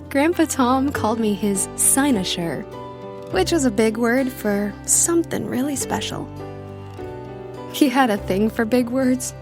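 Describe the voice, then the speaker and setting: An actress playing a wistful, wry young woman far from her family, telling her story conversationally in an audio drama monologue. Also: fairly high pitch. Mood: wistful regret. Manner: conversational, confiding.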